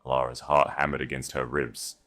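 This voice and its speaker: Very deep male story narrator, music behind. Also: level tone, fairly quick, fairly bright tone. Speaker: audiobook narrator. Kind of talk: scripted dramatic fiction narration.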